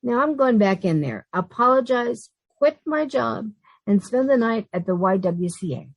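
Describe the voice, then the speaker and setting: A woman's voice: on the high side, lively intonation, moderate pace, no room sound. A woman playing a sensible, easily exasperated young working woman in an old-time radio comedy, speaking emphatically. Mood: indignant. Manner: emphatic, long-suffering.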